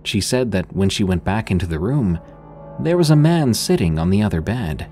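A man narrating true scary stories in a slow, deliberate, suspenseful scripted reading. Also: fairly low pitch, big pitch swings, mellow, faint music behind. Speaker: horror story narrator.

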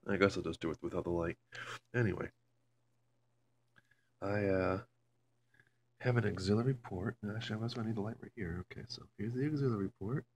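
Low-voiced man rambling over a noisy hum. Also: brisk, pauses often. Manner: foul-mouthed, rambling. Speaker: amateur video maker. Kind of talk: casual vlog chat. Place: carpeted room at home. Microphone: handheld phone camera. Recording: home phone recording with loud hum.

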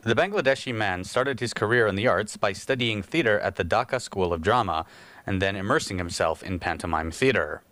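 A male reporter narrating a news report voice-over in a measured, scripted way. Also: lowish voice, expressive, a bit bright, no echo.

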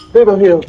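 A man with a Nigerian accent acting in a skit as an excitable young man who repeats his words in agitated bursts. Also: high voice, dull audio.

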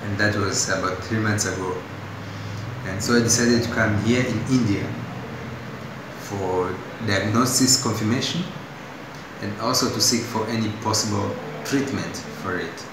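Young Tanzanian man, lowish voice, unscripted patient testimonial, hesitant, recorded on a phone in a very echoey hospital room with noise behind. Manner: halting, with restarts and fillers.

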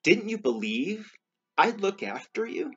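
Storybook holy teacher played by a man, gently chiding and reassuring, with a high-pitched, slightly husky delivery. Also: big pitch swings, measured pace, lo-fi sound, full character voice. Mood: gentle reproach.

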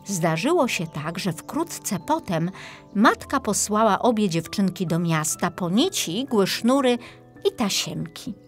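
A woman reads a children's fairy tale. Her delivery is unhurried and very melodic, in a medium-pitched voice, with faint music underneath. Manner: expressive storytelling. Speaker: audiobook narrator.

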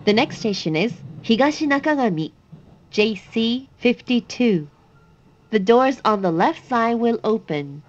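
Very slow, measured woman announcing trains. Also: on the high side, expressive, dull audio. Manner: measured, formal, carefully articulated. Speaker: English-language train announcer. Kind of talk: scripted recorded announcement.

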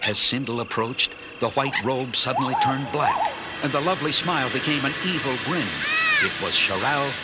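A man narrating a children's story in an expressive, clearly enunciated read-along style. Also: mid-range voice, moderate pace, loud music behind the voice, poor audio. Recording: orchestral film score under narration.

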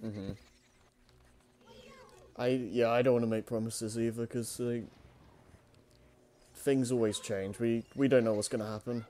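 A man's voice: medium pitch, fairly even intonation, measured pace, long pauses, mellow. A man in his twenties chatting casually as a co-host, easygoing and agreeable. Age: 20s. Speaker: co-host and channel owner. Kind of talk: casual chat, channel update.

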